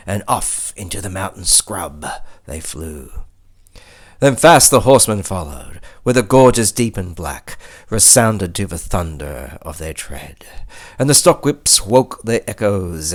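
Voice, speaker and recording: A low-pitched Australian man recites poetry with theatrical flair. His delivery is slow and his pitch moves widely, swelling on key phrases and sinking to hushed endings. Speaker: poetry reader. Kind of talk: dramatic recitation of a bush ballad.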